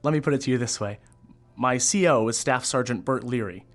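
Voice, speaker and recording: An actor playing a candid, wry theatre costume designer and Vietnam veteran in an audio drama, telling things in a measured, reflective way. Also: male voice, medium pitch, natural voice. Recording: music behind the voice.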